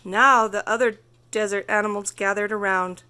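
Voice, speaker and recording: A woman reading a children's story aloud as narrator, expressive and carefully phrased. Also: medium pitch, moderate pace, clear, resonant tone. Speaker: storybook reader.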